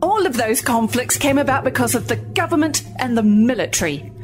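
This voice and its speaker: A woman's voice: on the high side, expressive, brisk, soft background music, natural voice. An actress playing a prickly, sardonic corporate woman on a space station in a sci-fi audio drama. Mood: indignant. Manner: sardonic, cutting.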